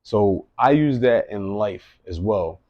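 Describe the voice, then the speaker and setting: A man's voice: on the low side, animated, slow, no room sound, phone-quality sound. A man in his late thirties giving an earnest, rambling personal monologue, often repeating himself. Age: late 30s. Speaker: vlogger.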